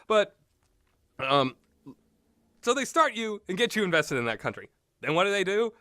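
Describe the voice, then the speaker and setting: A man reviews games in a loose, unscripted ramble. His voice is bright and sharp-edged, with an easily amused manner. The sound is dry, with no room around it. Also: high voice, sing-song, moderate pace, no echo. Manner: rambling, often breaks into amused laughter. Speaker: video game reviewer.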